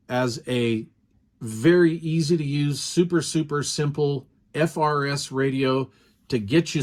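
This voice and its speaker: A man talking at an ordinary, middle pitch. He walks through a hands-on demo at a relaxed, unhurried pace and wanders between points. Ums and uhs come up often, like an everyday home video review. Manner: easygoing, rambling, frequent ums and uhs. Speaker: radio product reviewer. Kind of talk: casual hands-on product demo.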